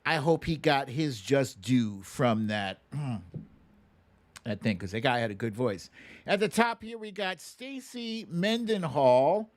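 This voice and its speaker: A man's voice: mid-range voice, very animated, takes it slowly. A man hosting a casual livestream guessing game, chatting playfully and informally straight to his viewers. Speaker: livestream host running a song-mashup guessing game.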